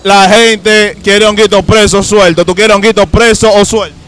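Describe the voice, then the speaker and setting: A man's voice: high-pitched, little pitch movement, normal speed, a bit bright, smooth, faint music behind. A man with a Dominican accent hosting casual street interviews on a handheld microphone. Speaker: street interviewer and show host. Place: outdoors on a busy street by open shopfronts.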